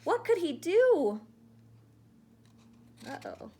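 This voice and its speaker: A woman reading a children's picture book aloud in an expressive storytelling style. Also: very high-pitched, extremely slow. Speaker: storybook reader.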